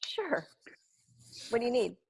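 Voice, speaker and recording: A woman's voice: fairly high pitch, sing-song, unhurried, creaky, breathy edge, exaggerated voice. A middle-aged woman playing a cheerful, caring suburban mom in a comedic table read over a video call. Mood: friendly, casual. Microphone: wired earbuds on video call.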